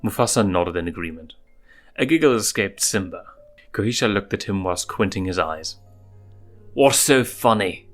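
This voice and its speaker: A man's voice: deep voice, lively intonation, fairly quick. A man reading fan fiction aloud as a storybook narrator. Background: music.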